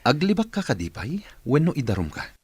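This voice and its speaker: A man's voice: medium pitch, wildly swooping pitch, a little fast, darkish tone, slightly rough, dry recording, full character voice. A man acting a stern, accusing male boss in an Ilocano radio drama. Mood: angry, accusing.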